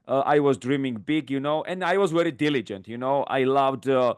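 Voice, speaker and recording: This is a man in his thirties whose first language is Georgian, answering interview questions in English. His voice is smooth and resonant at an ordinary pitch. He speaks readily, though often broken by fillers and restarts. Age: 30s. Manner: fluent but halting, many fillers and restarts. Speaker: guest. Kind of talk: podcast interview.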